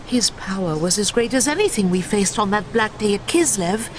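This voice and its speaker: A woman's voice: mid-range voice, animated, normal speed, slight background noise, close to an everyday voice. An actress playing a dutiful elf warrior who reports formally to her master. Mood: earnest. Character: young female elf warrior, earnest and dutiful.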